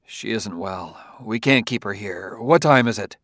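A man voicing an anguished, harried policeman husband in an audiobook, terse, weary and gruffly protective. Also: lowish voice, measured pace, fairly bright tone, dry recording, clean studio sound. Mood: urgent, tense. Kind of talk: audiobook character acting.

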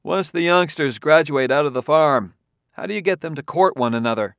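A man's voice: fairly high pitch, moderate pace, clean tone, no room sound, studio quality, voice pushed up, natural voice. A man reading an audiobook, voicing a cautious, uneasy detective from Earth who asks careful, hesitant questions. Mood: wary. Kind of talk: audiobook character voice.

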